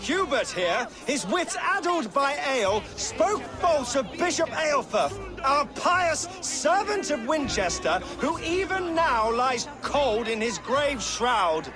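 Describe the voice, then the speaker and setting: An actor playing a fanatical, pompous reeve who preaches executions to a crowd in contemptuous oratory, in a video game. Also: male voice, very high voice, sing-song, takes it slowly, over music, full character voice. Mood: righteous contempt. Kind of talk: dramatic video game acting.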